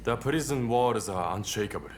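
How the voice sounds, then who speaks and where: An actor playing a sly samurai schemer with a dry, sarcastic delivery. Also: male voice, mid-range voice, animated, moderate pace, close to an everyday voice. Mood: scheming. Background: music.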